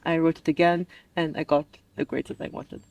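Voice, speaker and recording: A woman's voice: low-pitched, steady tone, brisk, creaky, darkish tone, no echo, rough recording. A woman in her twenties who grew up in Dubai, answering questions in a casual, conversational way as an interview guest. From American who grew up in Dubai. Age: 20s. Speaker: interview guest, master's student.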